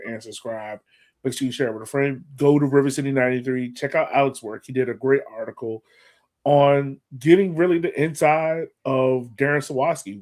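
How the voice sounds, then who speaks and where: An American man chatting casually as co-host of a sports podcast. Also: mid-range voice.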